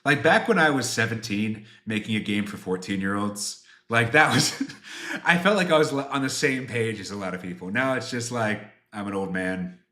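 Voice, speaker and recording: A bright, forward male voice in his twenties with a roomy echo around it. He talks casually to livestream viewers as a game developer, rambling through answers and poking fun at himself. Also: lowish voice, expressive, normal speed. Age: 20s. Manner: rambling, self-deprecating.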